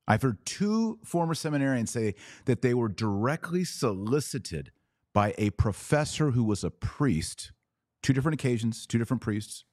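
A man hosting a podcast, giving informal, emphatic commentary with deliberate repetition. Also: lowish voice, darkish tone, dry recording, studio quality. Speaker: podcast host. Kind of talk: informal commentary on a played clip.

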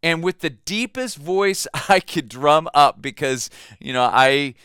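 A man's voice: on the high side, expressive, unhurried, a bit bright, clear, resonant tone, dry recording, studio quality. A man, a pastor, preaching a sermon in a conversational way, telling a family story with fillers and repeated words.

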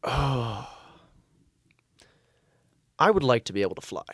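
A man's voice: medium pitch, big pitch swings, measured pace, slightly rough, no echo, clean studio sound. A young man with a Canadian accent chatting casually as a podcast guest, hesitant as he thinks aloud. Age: young adult. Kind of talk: casual chat.

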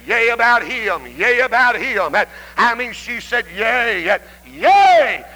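High-pitched Southern preacher man, bright and forceful. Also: poor audio. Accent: Southern American. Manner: emphatic, swinging between shouted cries and hushed pleading; punctuates phrases with a rhythmic "uh". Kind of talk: fervent old-time revival sermon.

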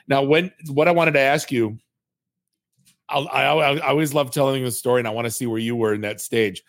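A man's voice: mid-range voice, steady tone, brisk, no echo, studio quality. A man hosting a podcast who tells rambling, self-mocking stories in a chatty, casual way. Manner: chatty, storytelling, self-mocking, many restarts. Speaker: podcast host.

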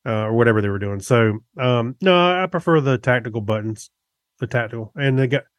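Middle-aged man chatting, halting, dry close sound. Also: mid-range voice, animated, dry recording. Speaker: podcast co-host. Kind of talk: casual chat about gadgets.

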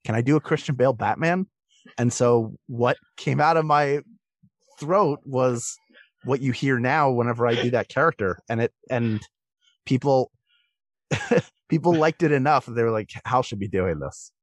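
A man's voice: mid-range voice, moderate pace, no room sound. A man, a voice actor, telling anecdotes in a casual podcast interview.

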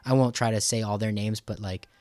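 Low-pitched man, rambling casual radio host. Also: steady tone, a little fast, a little mellow, dry recording. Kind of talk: casual conversational interview.

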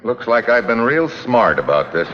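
An actor playing a tough, wry investigator with an American accent, clipped and slangy, in 1950s drama style. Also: male voice, medium pitch, very animated, normal speed, close to an everyday voice. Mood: bitter, surprised. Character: tough, wry, hard-boiled male investigator. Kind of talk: 1950s television drama acting. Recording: echoey, dull, noisy vintage soundtrack.

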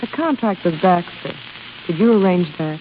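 A tender young sweetheart played by a woman speaks with devoted, pleading affection in the manner of 1930s radio drama. Her voice comes through a dull recording with clear hiss and crackle. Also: lowish voice, expressive, normal speed, lightly acted. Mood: adoring.

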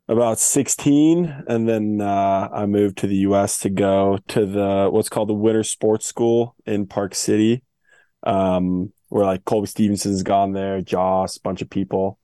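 A young man who grew up in Switzerland, answering interview questions in a relaxed, easygoing way with many fillers. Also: on the low side, unhurried, clear, resonant tone, dry recording. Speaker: guest, pro skier.

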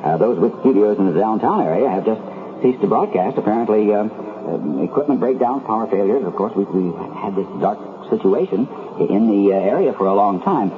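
An actor playing a stunned, flustered news anchor who stammers and fumbles for words in a 1970s radio drama. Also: male voice, lowish voice, expressive, brisk, lightly acted. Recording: tinny 1970s radio broadcast.

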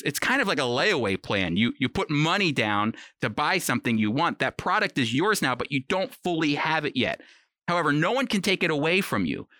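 A man's voice: a little high, bright, forceful tone, no room sound, clean studio sound. A man giving conversational, scripted Bible teaching in an earnest, explanatory manner. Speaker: Bible teacher on a radio program.

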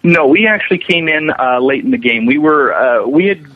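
A man's voice: a little high, lively intonation, normal speed. A man being interviewed as a special effects animator, explaining his work conversationally with frequent restarts. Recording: narrow, tinny phone-like line.

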